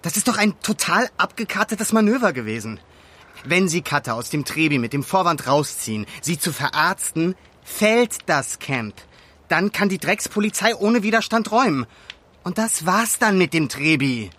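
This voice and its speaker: An indignant young activist in an audio drama. His voice is sharp and bright, set a little high for a man. He speaks emphatically, in loose slang. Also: very animated, moderate pace, heavily acted. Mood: agitated, indignant. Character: indignant young male forest-protest activist. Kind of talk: naturalistic audio drama dialogue.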